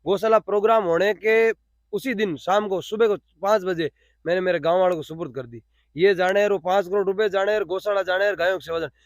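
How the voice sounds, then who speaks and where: High-pitched Rajasthani man, dry sound. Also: fairly quick, no echo. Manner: repeats phrases for emphasis. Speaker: interviewee, cow shelter volunteer. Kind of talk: spontaneous explanatory interview answers.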